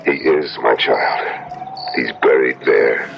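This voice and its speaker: A man's voice: extremely low pitch, slow pace, gravelly, faint music behind, full character voice. An actor playing a grave older religious man, kindly but firm, in a solemn old-time radio drama style. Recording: noisy, dull vintage radio recording.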